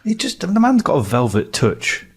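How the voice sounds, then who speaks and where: A man's voice: mid-range voice, huge pitch swings, normal speed, husky. A man co-hosting a football podcast, trading banter and quiz clues in casual chat.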